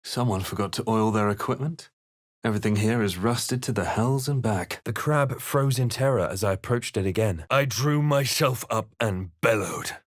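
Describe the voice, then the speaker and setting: A man voicing a grave, watchful, wry spellcasting adventurer hero in a video game, with a measured, dramatic delivery. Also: lowish voice, no room sound, studio quality, natural voice. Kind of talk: video game voice lines, dramatic narration and incantations.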